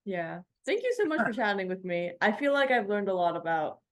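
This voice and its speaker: A woman's voice: mid-range voice, big pitch swings, brisk. A woman working as a radio DJ, asking questions in a casual interview.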